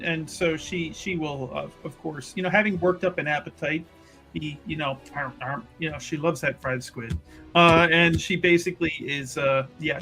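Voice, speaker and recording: A man playing a tabletop roleplaying game, casually narrating his character's moves in a halting way with repeated words. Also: a little high, fairly bright tone, poor audio.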